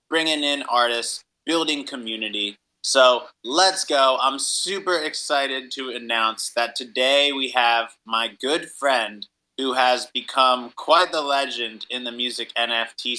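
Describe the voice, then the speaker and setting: A man with a very bright, punchy voice hosts a podcast. He is enthusiastic and upbeat, talking in a casual, slangy way as he addresses guests and listeners. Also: mid-range voice, poor audio. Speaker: podcast host.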